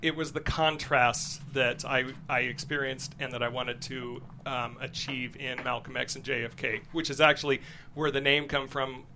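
Bright-voiced man, faint noise behind. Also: mid-range voice, steady tone, normal speed. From Albuquerque, New Mexico, United States. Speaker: guest screenwriter. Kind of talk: reflective personal storytelling in an interview. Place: studio.

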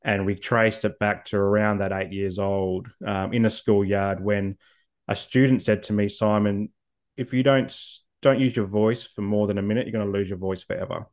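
Aussie guy in his 40s, lowish voice, thoughtful, lots of ums and restarts. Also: steady tone, normal speed, a little mellow. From Australia. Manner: reflective, frequent ums and repeated words. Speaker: guest. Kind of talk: personal story in an interview.

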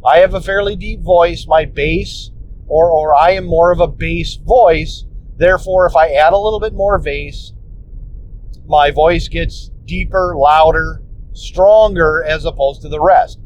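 A man hosting a podcast, casually explaining how he edits his episodes, rambling with restarts and mild swearing, recorded on a phone in a car. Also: measured pace. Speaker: podcast host. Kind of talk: casual instructional talk. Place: inside a car on the road. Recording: muffled phone recording with road noise. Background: road rumble.

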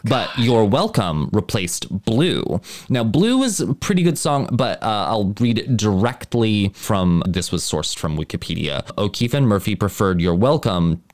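A man with a low, deep voice talks casually as a podcast co-host. He is chatty and laughs easily, and now and then reads a passage aloud from his notes. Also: big pitch swings, measured pace, hardly any pauses, a little mellow. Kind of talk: casual chat about musical theater.

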